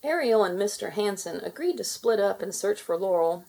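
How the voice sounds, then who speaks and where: A woman reading a children's storybook aloud in expressive narration for kids. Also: mid-range voice, normal speed, vocal fry, rough recording.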